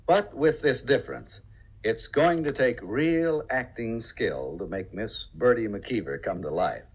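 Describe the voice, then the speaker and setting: A man's voice: mid-range voice, lively intonation, measured pace, slightly rough, muffled recording. A man hosting and narrating a radio theater in a formal 1950s broadcast style. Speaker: theater director and host. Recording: vintage radio broadcast, faint music.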